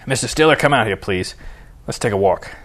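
A man's voice: on the low side, expressive, brisk, a bit bright. A man voicing an angry, sarcastic and stubborn baseball team manager in an audiobook, mocking as he barks orders. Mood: terse, resentful. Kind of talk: audiobook character dialogue.

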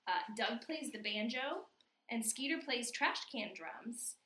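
A woman's voice: fairly high pitch, expressive, slow, bright, forceful tone, reverberant. A woman co-hosting a podcast, casually recapping a cartoon episode with frequent laughs. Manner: laughs often, chatty, drops to a near whisper at times. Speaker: podcast co-host.

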